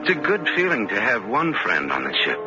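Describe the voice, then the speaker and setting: Guilt-haunted young male stowaway, meek and halting, expressive swings, muffled vintage radio sound with loud music. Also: medium pitch. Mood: haunted, confiding. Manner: hesitant, halting, confessional. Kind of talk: 1940s radio drama acting, first-person narration and dialogue.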